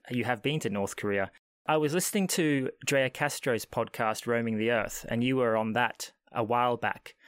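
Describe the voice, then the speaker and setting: A man's voice: medium pitch, fairly bright tone, no echo, clean studio sound. A man hosting a travel podcast, reading an upbeat scripted intro and then chatting with his guest from home during lockdown. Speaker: podcast host.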